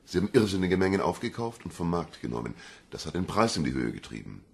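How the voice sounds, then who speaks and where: Deep, gravelly detective. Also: male voice, sing-song, fast talker, exaggerated voice. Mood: matter-of-fact. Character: self-satisfied male detective explaining a case. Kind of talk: German radio crime drama acting. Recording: clear ambient noise behind.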